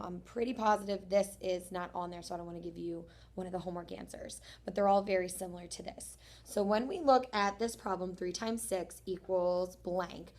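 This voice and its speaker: A young woman, a third-grade teacher, whose voice is going hoarse, explaining homework strategies step by step in a friendly, encouraging way. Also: mid-range voice. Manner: friendly, encouraging, talks through each step. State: losing her voice, hoarse. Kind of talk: homework explanation video for students.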